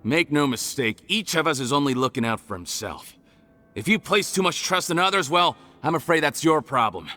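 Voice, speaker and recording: A man's voice: a little high, lively intonation, normal speed, bright, forceful tone, faint music behind. An actor playing a cold, calculating male criminal leader in a game cutscene, talking down to the others. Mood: scornful. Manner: condescending, calculating.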